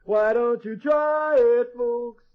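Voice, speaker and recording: High-voiced brash hipster. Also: male voice, slow. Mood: bluesy, wry. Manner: mocking, slangy. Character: brash, wisecracking male Harlem hipster. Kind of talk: radio drama acting. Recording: muffled old-time radio recording.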